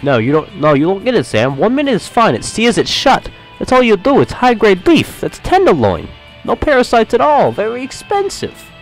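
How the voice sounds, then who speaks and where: A man voicing a boastful young male student who shows off his money, his own low voice pushed higher and louder. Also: a little fast, faint music behind, natural voice, low-quality recording. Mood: insistent. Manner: bragging, animated, rising into high insistence.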